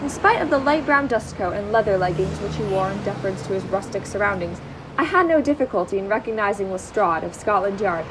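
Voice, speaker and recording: A woman playing an earnest male companion who narrates an amateur audio drama in a measured, literary way. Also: medium pitch, lively intonation, background noise, close to an everyday voice. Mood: storytelling.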